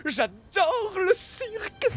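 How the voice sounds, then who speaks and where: A woman's voice: very high-pitched, big pitch swings, drawn-out words, exaggerated voice. A woman voicing a gullible, pompous police officer in a French cartoon, officious and exclamatory. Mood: pompous, clueless.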